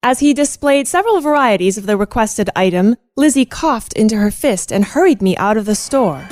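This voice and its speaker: Young Victorian lady narrator played by a woman, high and dry-recorded, measured formal storytelling for radio drama. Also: expressive, a little mellow, no echo, close to an everyday voice. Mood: measured, fond. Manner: measured, formal literary delivery. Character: genteel young female cousin recounting detective tales. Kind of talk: scripted first-person radio play narration.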